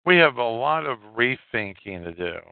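A man hosting a solo podcast, giving unscripted political commentary in a halting way and repeating phrases for emphasis. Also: medium pitch, sing-song, vocal fry, clean tone, no echo. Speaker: podcast host. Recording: muffled, tinny phone-like sound.

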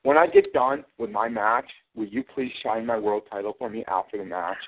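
A man with a gravelly voice taunts a rival in a wrestling promo, his pitch jumping all over as he mocks. He sounds sarcastic and showy. The sound is tinny, as if over a phone line. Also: very animated, normal speed. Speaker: wrestling federation champion cutting a promo. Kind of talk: trash-talking wrestling promo over a call.

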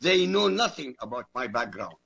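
A man from Iraq whose first language is Arabic, arguing forcefully as a guest and repeating phrases for emphasis. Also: medium pitch, sing-song, moderate pace, creaky, husky. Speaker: guest commentator. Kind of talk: emphatic talk-radio argument.

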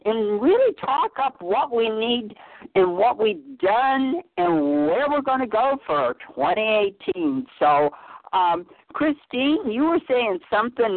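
A woman's voice: on the high side, dry recording. A woman hosting a call-in radio chat, effusive and rambling, with many restarts. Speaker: host. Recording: tinny phone line, dry.

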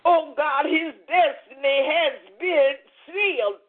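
High-pitched, slow, fervent prayer leader on phone-like audio. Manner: fervent, repetitive exclamatory invocations. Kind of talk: extemporaneous intercessory prayer. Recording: muffled narrow phone-like audio.

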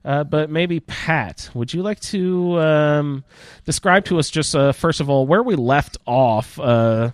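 A man hosting a casual gaming roundtable podcast, speaking in halting phrases with searching pauses. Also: a little high, no echo, clean studio sound. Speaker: podcast host. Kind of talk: casual roundtable chat about a video game.

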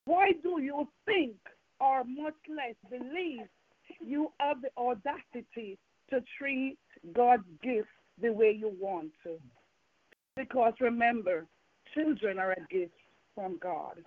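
A woman's voice: a little high, takes it slowly, no room sound. A woman with a Jamaican accent preaching a fervent evening sermon, full of repeated phrases and rhetorical questions. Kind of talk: evening sermon with call and response. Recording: tinny phone-line sound.

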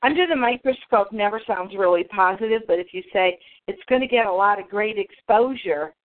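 Lady coach on the phone, thin tinny sound, asks quick questions, mid pitch. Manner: brief encouraging replies and questions. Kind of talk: casual phone conversation.

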